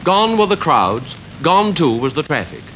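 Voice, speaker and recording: Formal British newsreel man, lively intonation. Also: fairly high pitch, a little hiss or hum, poor audio. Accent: British Received Pronunciation. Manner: formal, measured, rhetorical delivery. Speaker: newsreel narrator. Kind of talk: scripted 1950s newsreel narration. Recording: 1951 archive newsreel with music behind.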